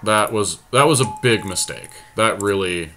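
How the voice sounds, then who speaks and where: A man's voice: on the low side, moderate pace, pushed and bright, a little hiss or hum. A man giving casual, conversational commentary on a card game he is playing, often self-deprecating. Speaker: podcast host commentating on own card game.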